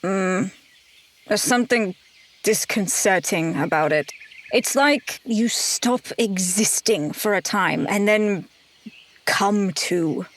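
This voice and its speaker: A woman playing a guarded, haunted adventurer in tabletop roleplay, speaking in a measured, hesitant, grave way. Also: mid-range voice. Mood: pensive, grave.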